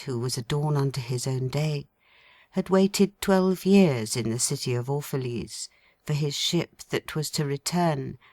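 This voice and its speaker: Slow, low-voiced woman reading solemnly. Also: no echo. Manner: solemn, measured, poetic reading. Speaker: audiobook reader. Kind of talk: literary audiobook narration.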